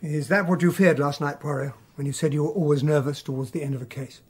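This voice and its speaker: An actor playing an earnest, polite gentlemanly captain and loyal companion, husky-voiced, in a radio play. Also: male voice, on the high side, steady tone, fast talker, dry recording. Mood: inquiring. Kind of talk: radio drama acting and narration.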